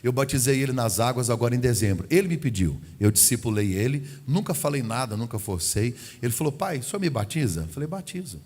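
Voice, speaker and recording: A man's voice: mid-range voice, lively intonation, fast talker, hardly any pauses, darkish tone. A man in his forties from Goiás, Brazil, preaching as a visiting pastor at a family service, chatty and humorous, acting out dialogue. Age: 40s. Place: church sanctuary. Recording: long hall reverb in a church.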